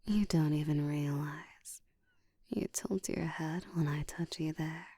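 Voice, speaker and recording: Actress as commanding female vampire for roleplay audio: very slow, breathy whisper, dark low tone, teasing control. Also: fairly even intonation, no room sound, heavily acted.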